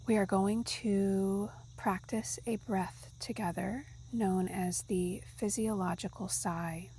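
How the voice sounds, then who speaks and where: A woman guiding a meditation in a soft, soothing, breathy, half-whispered voice. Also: mid-range voice, fairly even intonation, slow pace, slight background noise. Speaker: yoga nidra guide. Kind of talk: guided meditation narration.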